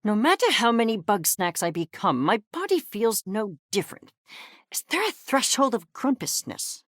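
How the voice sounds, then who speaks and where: A woman's voice: a little high, dry recording, studio quality. A woman voicing a haughty, eccentric furry creature scientist in a video game, speaking in a verbose, condescending and theatrical way with precise articulation. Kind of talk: video game character acting.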